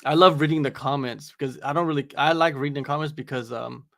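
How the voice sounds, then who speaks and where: A man talks about fighters in a relaxed, rambling way, packing his speech with "you know" and "like." His voice has a gravelly edge. The sound is dry and close to the mic. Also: a little high, fast, no echo. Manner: laid-back, rambling with many fillers. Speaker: MMA interviewer and podcast co-host. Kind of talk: casual chat on a livestream.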